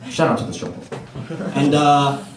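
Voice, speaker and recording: A man's voice: on the high side, fairly even intonation, moderate pace. An American man doing a stand-up set at an open mic, telling stories in a laid-back way and playing to the crowd. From United States. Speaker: stand-up comedian. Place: comedy club stage with a live audience. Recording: roomy club sound from a distance, crowd laughter. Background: audience laughing.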